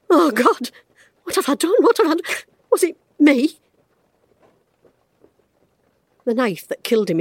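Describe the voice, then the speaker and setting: Retired woman detective losing her memory, hushed halting monologue, dry close sound. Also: high voice, no room sound. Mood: anguished. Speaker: actor in an audio drama. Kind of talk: first-person audio drama narration.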